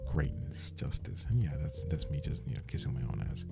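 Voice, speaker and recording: Very deep, gravelly, murmuring man. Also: very animated, a little fast. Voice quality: slightly raspy, breathy murmur. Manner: rambling, hesitant, self-deprecating, casually profane. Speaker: livestreamer, animator. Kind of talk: casual livestream chat while working. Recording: music playing behind the voice. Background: plucked guitar music.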